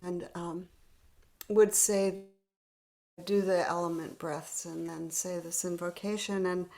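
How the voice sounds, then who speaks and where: A woman gently leading a spiritual meditation practice over a video call, speaking softly and often half-whispering. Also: fairly low pitch. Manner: gentle, unhurried, laughs lightly. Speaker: meditation leader.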